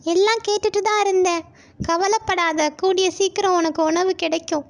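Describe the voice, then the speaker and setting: A tiny storybook fawn, played by a woman, very high and squeaky. It sounds young and timid, yet earnest and sly as it coaxes its way along. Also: fairly even intonation, moderate pace. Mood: coaxing. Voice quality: small, thin childlike squeak. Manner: anxious, coaxing, earnest. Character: timid but cunning little fawn.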